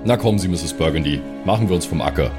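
A man playing a courteous, gruff adventurer in an improvised roleplay audio game, speaking politely and with understatement. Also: low voice, fast, over music, lightly acted. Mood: courteous, dry. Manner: polite, understated, formal address.

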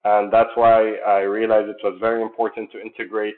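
Low-voiced man on a tinny line. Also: level tone. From Jordan. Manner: earnest, measured, many fillers. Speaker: sports program founder. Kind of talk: interview answers.

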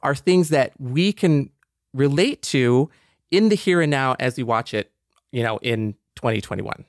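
A man with a mid-pitched voice co-hosts a podcast in an earnest, conversational way. He builds points by repeating phrases, and the recording is dry. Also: animated, unhurried, no echo. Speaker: podcast co-host.

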